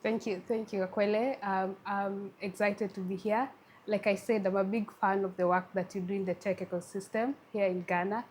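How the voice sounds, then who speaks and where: A woman with a Kenyan accent speaks as a guest in an interview at a middle pitch. She talks in a friendly way, often restarting her phrases. Faint hiss runs underneath. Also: steady tone, normal speed, darkish tone. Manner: friendly, with frequent restarts and fillers. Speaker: guest, tech community lead. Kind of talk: conversational interview.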